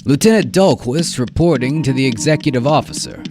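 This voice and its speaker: A man's voice: medium pitch, expressive, brisk, gravelly, music in the background, voice pushed up. A man playing a naive, easily startled young junior officer in a science fiction radio drama, hesitant and stammering. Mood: dutiful.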